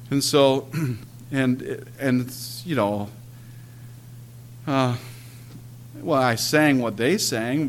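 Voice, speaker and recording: A man preaching a sermon and telling his own story, earnest and emphatic, often clearing his throat and pausing on "uh". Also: mid-range voice, a little hiss or hum. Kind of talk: sermon with personal testimony.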